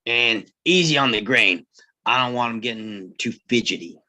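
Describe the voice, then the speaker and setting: A man's voice: medium pitch, expressive, normal speed, sharp tone. An actor playing a cool, confident, laconic frontier lawman in a screenplay table read. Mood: businesslike. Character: self-assured western ex-lawman, cool and practical.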